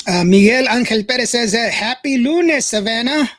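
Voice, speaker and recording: A man's voice: very high-pitched, moderate pace. A man hosting a radio podcast, chatting with a guest and reading out listener comments. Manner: reads listener comments, warm shout-outs. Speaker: podcast host and DJ. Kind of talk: casual interview chat.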